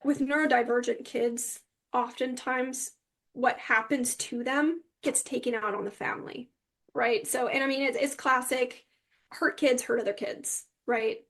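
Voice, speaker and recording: A woman's voice: high voice, fairly even intonation, a little slow, a bit bright. A woman speaking as an interview guest, giving candid personal testimony in an earnest, rambling way that often seeks agreement. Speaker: interview guest recounting personal experience with psychiatric medication.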